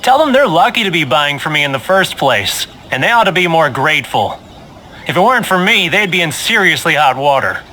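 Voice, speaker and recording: Cocky, animated, sneering vendor. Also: male voice, a little high, brisk, slight background noise. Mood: smug, dismissive. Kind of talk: video game dialogue acting. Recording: loud game music behind.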